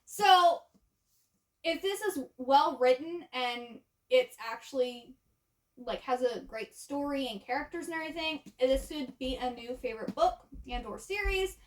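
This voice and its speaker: Female book vlogger, high-pitched, casual conversational delivery, informal and chatty. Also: animated, a little slow, low-quality recording. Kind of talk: casual chat to camera.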